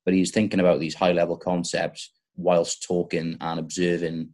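Deep-voiced man in casual discussion. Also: little pitch movement, normal speed, darkish tone.

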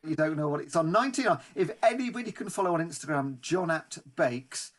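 A man's voice: fairly high pitch, lively intonation, brisk. A man co-hosting a football podcast, speaking in a casual, conversational way. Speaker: podcast co-host. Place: remote online recording.

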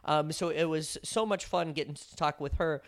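Deep-voiced chatty woman host. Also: moderate pace. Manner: chatty, lots of "like" and "um". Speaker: podcast co-host. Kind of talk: casual chat.

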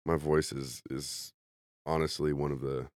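A man's voice: extremely low pitch, little pitch movement, unhurried, darkish tone, dry recording, clean studio sound. A congested man, a comedian guest, rambling through jokey stories in a casual podcast chat.

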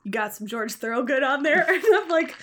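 A woman's voice: high voice, lively intonation, a little fast, a bit bright, a little hiss or hum. A young woman co-hosting a podcast in casual, joking chat, laughing often. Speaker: podcast co-host.

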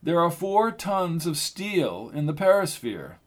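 A man acting out a stiff, commanding male official showing guests around a world's fair in an audiobook. He speaks crisply and formally in a raised, higher register, every word precise and clipped. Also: big pitch swings, measured pace.

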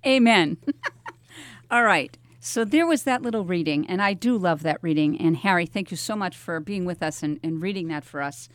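A woman's voice: fairly low pitch, normal speed, no echo. A woman radio host chatting casually and informally with guests in the studio, encouraging them as she goes.

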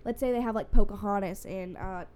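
A young woman talks in an easygoing, casual way, her voice mellow and a little stuffy from congestion. When she is sure of something she gets worked up and her pitch jumps. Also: brisk, a little hiss or hum. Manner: animated, rises sharply in pitch when emphatic. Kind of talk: casual chat.

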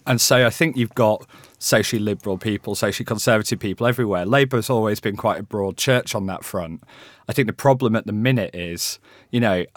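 A man's voice: on the low side, a bit bright, no echo, clean studio sound. A young man with a West Yorkshire accent, a panel guest talking in a hesitant, thoughtful, conversational way. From England. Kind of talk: panel discussion.

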